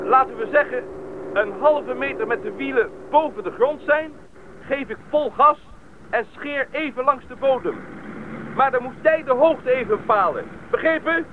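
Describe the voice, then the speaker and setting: Radio drama: practical, fearless small-plane pilot, strikingly high male voice, thin band-limited sound. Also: normal speed. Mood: calm, instructive. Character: confident, practical bush pilot, adult man, eager and unafraid. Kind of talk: Dutch radio play acting. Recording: tinny band-limited radio recording, loud engine noise.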